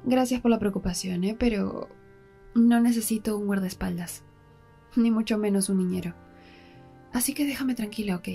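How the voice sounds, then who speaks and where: A woman playing a defiant, sarcastic young woman in an ASMR audio drama, with a mocking, drawn-out, breathy delivery. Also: mid-range voice, dark tone, faint music behind, close to an everyday voice. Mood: irritated, dismissive.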